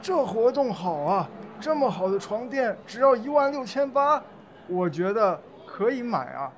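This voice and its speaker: A man's voice: very high voice, sing-song, moderate pace. A man playing a chatty, gullible elderly community resident in a radio play, eager and easily convinced. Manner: eager, credulous. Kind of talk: amateur legal-education radio play.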